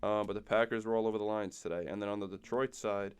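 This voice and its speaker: A young man, hoarse and nasal from a cold, co-hosting a casual podcast and rattling off game stats. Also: lowish voice, fairly even intonation, fast talker. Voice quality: raspy, nasal. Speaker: podcast co-host. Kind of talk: casual sports chat.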